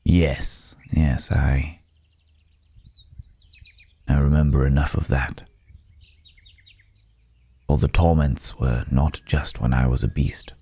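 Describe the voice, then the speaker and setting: A man playing a weary, remorseful werewolf in human form, speaking haltingly and gravely in an intimate ASMR roleplay. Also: extremely low pitch, flat delivery, dark tone, no echo, exaggerated voice. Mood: pensive, explaining.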